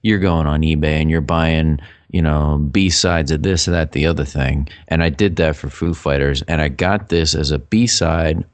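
A middle-aged man with a low voice, co-hosting a casual podcast chat in a chatty, rambling way. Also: unhurried, hardly any pauses, no echo. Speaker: podcast co-host.

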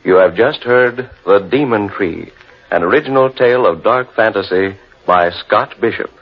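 A man announcing formally and in measured phrases in the manner of 1940s radio. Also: lowish voice, husky. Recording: muffled old radio broadcast.

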